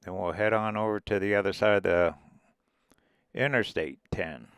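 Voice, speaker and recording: A man's voice: on the low side, moderate pace, clean tone, no room sound. A man vlogging about RV travel, narrating casually as he drives desert roads and points out the sights. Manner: relaxed, rambling, points out sights as he goes. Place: inside a vehicle driving desert roads.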